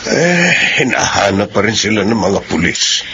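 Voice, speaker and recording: A man's voice: fairly low pitch, sing-song, moderate pace, sharp tone. A man playing a troubled, serious middle-aged uncle in a radio drama. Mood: worried. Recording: dull radio audio, faint noise.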